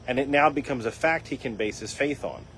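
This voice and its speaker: A man hosting a live faith and recovery talk, his voice a little raspy, explaining in an earnest teaching tone with frequent restarts. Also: medium pitch, lively intonation, brisk, fairly bright tone, a little hiss or hum, rough recording. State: raspy voice from dryness. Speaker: host. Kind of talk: casual talk on a live stream.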